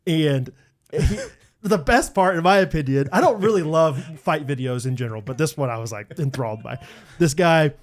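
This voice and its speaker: A man's voice: on the high side, expressive, moderate pace. A man co-hosting a podcast, telling a story in casual chat with lots of filler words. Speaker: podcast co-host.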